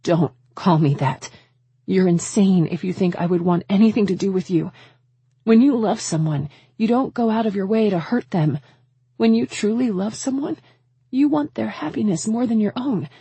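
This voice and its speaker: A woman's voice: low-pitched, slow pace, hardly any pauses, mellow, husky, no echo, full character voice. A woman voicing a hurt, defiant woman with an indignant, cutting manner in audiobook dialogue. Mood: angry, cold.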